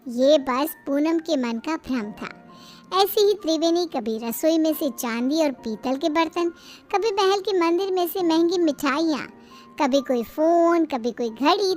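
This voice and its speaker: A woman narrating a scripted moral story as its storyteller. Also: high voice.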